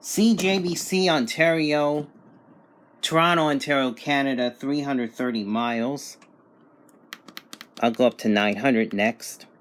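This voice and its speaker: A man's voice: medium pitch, a little slow, a bit bright, slight background noise. A man casually demonstrating and reviewing a radio, reading out station details in a matter-of-fact way. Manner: matter-of-fact, reading out call signs and distances. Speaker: reviewer giving a radio reception test.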